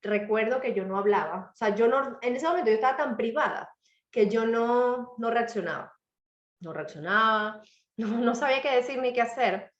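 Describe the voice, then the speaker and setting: A woman with a slightly hoarse throat, telling a personal story to a live audience in a reflective, motivational way. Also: on the high side, normal speed, echoey room, lo-fi sound. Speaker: author and speaker.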